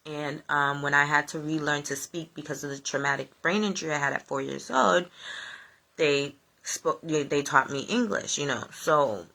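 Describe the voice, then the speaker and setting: A woman whose first language was Spanish talks to her viewers in a loose, rambling livestream. Her voice sits low and carries a gravelly fry, yet its tone stays bright and forward. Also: moderate pace, rough recording. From United States, Honduran family. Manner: rambling, chatty, many fillers. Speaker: livestream host. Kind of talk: casual reflective live chat.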